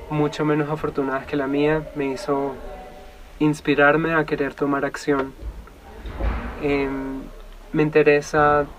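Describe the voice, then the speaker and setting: Young Venezuelan man, artist voice-over, measured and pausing, slightly high, unhurried. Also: lo-fi sound, a little hiss or hum. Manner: slow, measured, pausing often. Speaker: resident artist. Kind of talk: reflective documentary voice-over.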